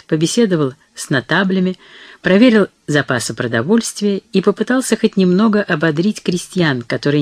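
Measured audiobook narrator, dry studio sound. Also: medium pitch, no room sound. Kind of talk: scripted narration of a historical novel.

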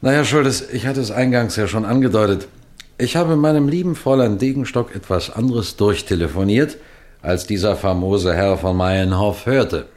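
A man's voice: fairly low pitch, moderate pace, darkish tone, lightly acted. A man playing a wily, composed police detective in an old-fashioned radio crime play, courteous and teasing in a theatrical way. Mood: genial, teasing. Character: wily, composed male police detective telling his own case. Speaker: narrator and lead actor.

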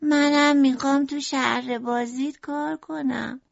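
A woman voicing a gloomy girl who thinks herself ugly, in a high, croaky, drawn-out voice. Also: fairly even intonation. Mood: eager. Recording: dull lo-fi audio.

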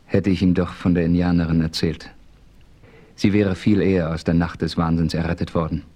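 An actor playing a solemn frontier hero who narrates his adventure in a measured, formal audio drama style. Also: low-pitched, level tone, mellow, natural voice. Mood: solemn narration.

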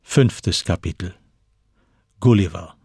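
A man narrates a crime story for radio in a deep, heavily husky voice. His delivery is measured and suspenseful, like scripted literary reading, and the sound is dry and close. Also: mellow, no echo.

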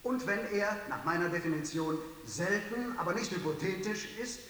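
High-pitched man in a very echoey hall. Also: expressive, moderate pace, a bit bright. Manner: emphatic, didactic. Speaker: risk analyst. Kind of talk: speaking in a public discussion. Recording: very echoey hall, distant, faint hiss.

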